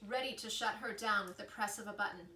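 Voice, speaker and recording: A woman reading a children's book aloud in an expressive storytelling style. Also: medium pitch, quick pace. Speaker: reader, storyteller. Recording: echoey room with faint noise.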